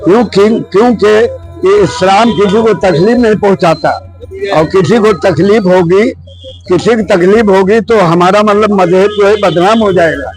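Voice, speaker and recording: A man's voice: high voice, normal speed, darkish tone, soft background music, poor audio. An older man giving an impassioned, preachy, unscripted interview answer full of rhetorical questions. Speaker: member of the public interviewed.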